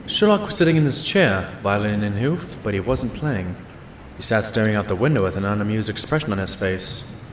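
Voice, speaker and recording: A man playing a loyal pony sidekick narrating his detective friend's cases in an audio drama, measured and mildly amused. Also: lowish voice, lively intonation, a little hiss or hum, close to an everyday voice. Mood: reflective. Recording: roomy voice over faint music.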